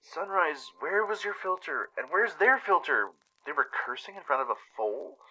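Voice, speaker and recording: A man's voice: a little high, big pitch swings, moderate pace, bright, forceful tone, voice pushed up, exaggerated voice, poor audio. A man voicing a meek, anxious, naively curious young pony in a radio play, with flustered questions and stammers. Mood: flustered, worried.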